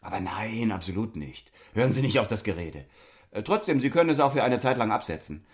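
An actor as an awkward, evasive gentleman in a stage-like audio drama. His voice is fairly low with lively swings in pitch. He is polite, hesitant and placating. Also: fairly quick, natural voice. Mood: awkwardly placating. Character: awkward, evasive, polite married man mistaken for doctor. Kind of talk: theatrical audio drama acting.

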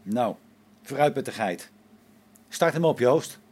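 A wary, skeptical space station engineer acted by a man in a science fiction radio play. His husky voice moves up and down a lot, informal and expressive. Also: mid-range voice, slow pace, heavily acted.

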